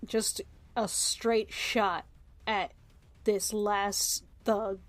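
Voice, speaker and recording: A woman's voice: mid-range voice, level tone, extremely slow. A woman playing a tabletop game, casually describing her moves.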